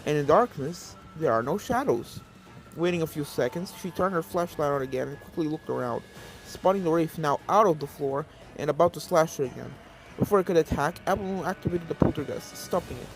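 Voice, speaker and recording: A man's voice: a little high, animated, a little fast, faint music behind, low-quality recording. A man reading fan fiction aloud as dramatic, scripted story narration.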